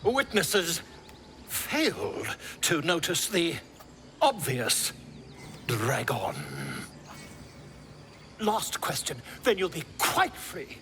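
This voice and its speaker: High, theatrical, pompous scholar. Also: male voice, very animated, extremely slow, long pauses, pushed and bright, a little hiss or hum, exaggerated voice. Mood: exasperated. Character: pompous, excitable middle-aged male scholar and adventurer. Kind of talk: video game character acting.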